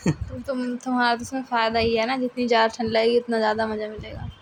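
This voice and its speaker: A woman acts as a playful village girlfriend on a recorded phone call, with a fairly high voice. She is coy and colloquial. Some noise runs along the line. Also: flat delivery, fast, natural voice.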